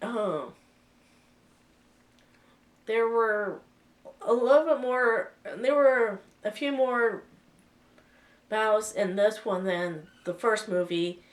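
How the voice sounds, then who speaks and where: Slow, hesitant woman with a cold. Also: medium pitch, far from the mic. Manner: hesitant, many fillers and restarts. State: sick with a cold. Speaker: vlogger reviewing a film. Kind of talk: casual spoken review.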